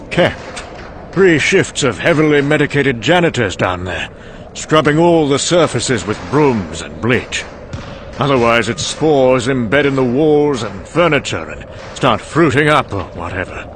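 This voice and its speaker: A man's voice: mid-range voice, close to an everyday voice. A man playing a weary, sherry-soaked older scientist, rambling conversationally and hesitantly in a wry, confessional monologue. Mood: distracted, wry. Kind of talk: dramatic monologue. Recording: clearly noisy background ambience.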